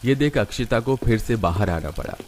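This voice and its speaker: A man's voice: medium pitch, lively intonation, moderate pace, soft background music. A man narrating a scripted cartoon family story as its storyteller.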